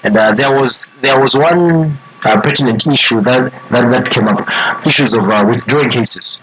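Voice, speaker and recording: A man with a Zambian accent hosting a radio interview conversationally, with hesitant, halting phrasing and switches between languages. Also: mid-range voice, background noise. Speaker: radio host.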